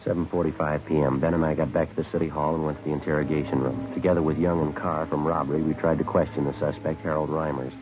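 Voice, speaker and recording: An actor playing a terse, businesslike American police detective who narrates a radio crime drama in a clipped, deadpan way. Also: male voice, extremely low pitch, fast, few pauses. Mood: deadpan, factual. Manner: deadpan, matter-of-fact. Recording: dull vintage radio recording with music.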